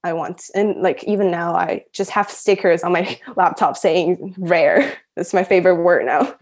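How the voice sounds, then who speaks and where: A woman's voice: fairly low pitch, moderate pace. A young woman from Shanghai chatting casually as an interview guest, giggly and scattering "like" through her talk. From Shanghai, China. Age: young adult. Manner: chatty, giggly, frequent use of "like". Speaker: interview guest, university student. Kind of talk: casual chat, personal anecdotes.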